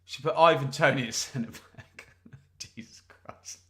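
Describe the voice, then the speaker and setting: Man, football watch-along host, live commentary, jokey asides, bright voice. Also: mid-range voice, measured pace. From England. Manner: chatty, joking, asides to the live chat. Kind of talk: live match commentary and chat.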